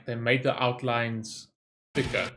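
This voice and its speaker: A man's voice: mid-range voice, flat delivery, measured pace, fairly bright tone. Casual rambling man doing reaction commentary. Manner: informal, rambling, thinking aloud. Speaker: gaming reaction channel host.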